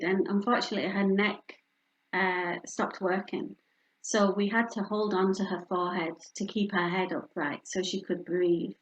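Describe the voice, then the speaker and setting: A woman's voice: mid-range voice, lo-fi sound. A middle-aged woman with a Yorkshire accent giving a candid, personal community talk on planning ahead, full of fillers and asides. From Yorkshire, England. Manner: warm, candid, personal storytelling with frequent fillers. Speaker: speaker from a hospice charity.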